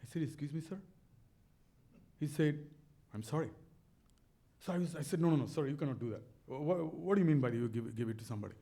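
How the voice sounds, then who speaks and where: A man's voice: mid-range voice, a little mellow. A middle-aged man with a Bangladeshi accent, telling a motivational story in an earnest, emphatic way, often repeating words. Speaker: speaker on a stage talk.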